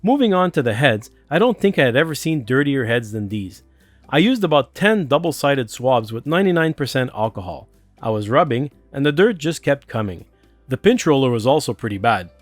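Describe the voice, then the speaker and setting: Lively male narrator, dry close sound. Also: medium pitch, no room sound. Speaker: host of a vintage electronics repair series. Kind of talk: scripted voice-over narration of a repair. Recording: dry close voice-over with music behind. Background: background music.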